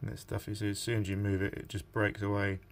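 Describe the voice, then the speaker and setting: A man's voice: on the low side, completely flat delivery, fast talker, slight background noise. A middle-aged man giving informal, hesitant workshop commentary as he presents a repair. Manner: informal, hesitant, thinking aloud. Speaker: radio restorer presenting a repair video. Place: small indoor workshop at a bench.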